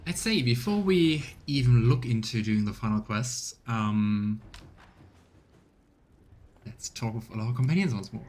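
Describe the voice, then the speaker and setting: A man casually commenting on a video game as he plays, in a relaxed, chatty and musing way. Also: mid-range voice, measured pace, pauses often. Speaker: streamer. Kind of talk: casual let's play commentary.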